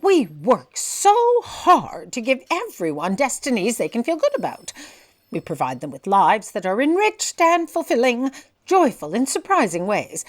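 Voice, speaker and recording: A woman's voice: a little high, huge pitch swings, a little slow. An actress playing a lofty, commanding and blithely oblivious female editor-in-chief in a comedic audio drama.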